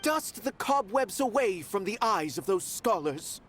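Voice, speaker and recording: High-voiced, pompous theatrical artist. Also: male voice, sing-song, measured pace, background noise. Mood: solemn, encouraging. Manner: pompous, declamatory, eloquent. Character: theatrical, world-weary male former artist, proud and philosophical. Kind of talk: video game voice acting.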